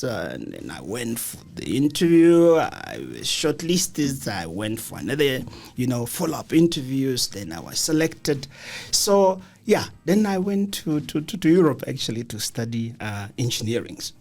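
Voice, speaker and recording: A man speaking as an interview guest, telling his story in a halting way and often repeating words. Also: medium pitch, a little mellow. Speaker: guest, engineering academic. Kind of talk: radio interview, personal story. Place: radio studio.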